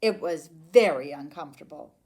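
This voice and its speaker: A woman teacher reading a picture book aloud to young children, expressively and deliberately, with dramatic emphasis. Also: sits low, lively intonation, mellow.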